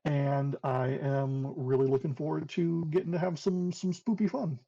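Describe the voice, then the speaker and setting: A man introducing himself as a tabletop game player, speaking haltingly with restarts. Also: fairly high pitch, steady tone, creaky, warm tone, dull audio. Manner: halting, stumbling restarts, chuckling. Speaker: player, professional game master. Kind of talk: casual self-introduction.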